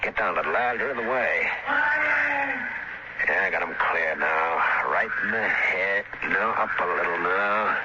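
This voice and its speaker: A man's voice: sits low, a little slow. An actor playing a defiant frontier father who barks urgent orders in a 1950s radio drama. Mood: tense, grim. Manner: urgent, barking commands. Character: defiant frontier father defending his homestead. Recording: noisy, muffled old radio recording.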